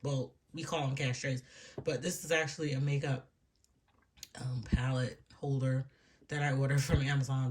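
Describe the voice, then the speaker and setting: A woman with a stuffy, froggy voice from allergies, chatting casually and rambling a bit as she walks through her budget for viewers at home. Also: low-pitched. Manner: rambling and chatty, with soft laughs and hushed asides. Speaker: budgeting vlogger. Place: room at home.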